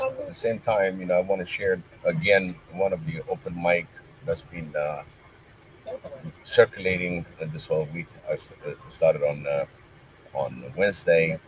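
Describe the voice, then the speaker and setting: A deep-voiced man hosts an online stream and introduces the next clip. He speaks haltingly with frequent fillers, over a faint background hum. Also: a little mellow, rough recording. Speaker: host. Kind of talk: livestream hosting.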